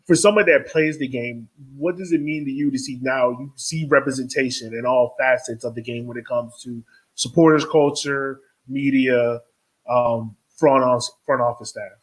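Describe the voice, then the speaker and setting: A man with a slightly raspy, husky voice hosts a casual interview. He talks in a laid-back, informal way with some slang, at a middle pitch. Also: steady tone, normal speed, low-quality recording. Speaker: podcast host.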